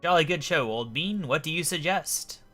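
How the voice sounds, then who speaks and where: Pompous old gent, animated. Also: male voice, a little high, normal speed, soft background music, voice pushed up. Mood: jolly, blustering. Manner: blustering, exclamatory, old-fashioned genteel speech. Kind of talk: voicing video game dialogue.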